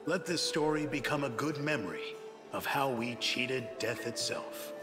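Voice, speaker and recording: An actor playing a world-weary, guarded aging dwarf assassin in a video game, gruff and measured, with a hidden tender side. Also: male voice, mid-range voice, natural voice. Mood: guarded affection. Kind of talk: scripted video game dialogue acting. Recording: game music behind the voice.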